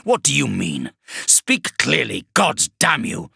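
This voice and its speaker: A man's voice: fairly high pitch, sing-song, a little slow, vocal fry, bright, forceful tone, no echo, clean studio sound, voice pushed up, exaggerated voice. A man doing a barking, raised-voice warlord. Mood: commanding angry. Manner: barking orders, curt. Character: harsh, commanding male warlord.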